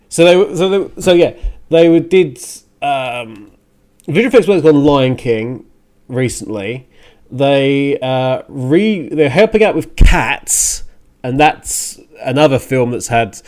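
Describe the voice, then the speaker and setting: Exasperated male radio host, halting delivery. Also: mid-range voice, darkish tone. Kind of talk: casual opinionated podcast rant on games and film news.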